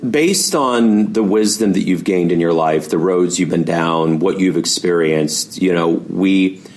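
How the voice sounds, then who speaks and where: A man's voice: low voice, unhurried, a little mellow. A man hosting an interview in a conversational style, building up to his questions in long, discursive phrases. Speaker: interview host.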